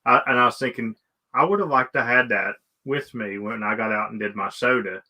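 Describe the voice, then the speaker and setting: A man hosting a casual interview, speaking haltingly and often repeating his words as he goes. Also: mid-range voice, bright, forceful tone, low-quality recording. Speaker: live stream host interviewing a guest.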